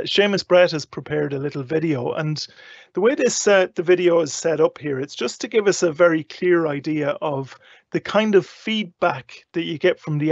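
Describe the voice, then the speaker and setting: A man's voice: a little high, creaky, breathy edge, no room sound. An Irish man hosting an online live event in a conversational, affable, slightly rambling way. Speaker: host. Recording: dull, lo-fi online call audio.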